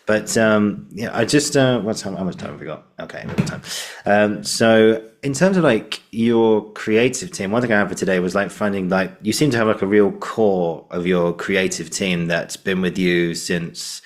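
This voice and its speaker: Male podcast host, deep pitch. Conversational, rambling, self-correcting. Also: normal speed. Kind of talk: casual interview chat.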